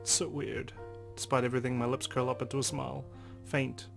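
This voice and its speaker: Male let's player, mid-pitched, dramatic narration of on-screen text with sweary reactions, music clearly behind. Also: flat delivery, a little fast, a bit bright. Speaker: let's play host. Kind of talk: dramatic reading aloud of game text, with reactions.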